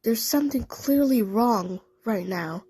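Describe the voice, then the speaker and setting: Woman playing a female cartoon cat for a fan audio play: very high voice, confiding, uneasy, halting. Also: animated, warm tone, slightly rough, dry recording.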